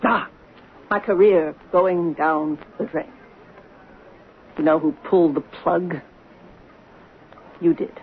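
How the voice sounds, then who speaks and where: An actress playing a tipsy, bitter actress with an arch, mocking delivery full of pauses, in 1970s radio drama style. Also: female voice, fairly low pitch. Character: tipsy, bitter, sardonic actress drinking away her career. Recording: noisy, tinny 1970s radio broadcast recording.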